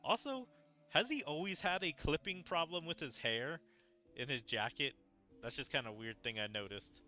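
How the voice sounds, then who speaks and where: A man's voice: a little high, normal speed, sharp tone, soft background music. A man giving casual gameplay commentary, thinking aloud about the story as he goes. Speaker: gaming streamer reading game dialogue aloud. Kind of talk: gameplay commentary with dramatic read-aloud of subtitles.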